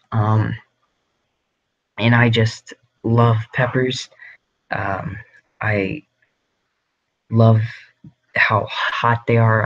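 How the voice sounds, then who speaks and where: A man's voice: fairly low pitch, muffled recording. A young man hosting a casual livestream from his home grow room, rambling with lots of ums and likes. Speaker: livestream host.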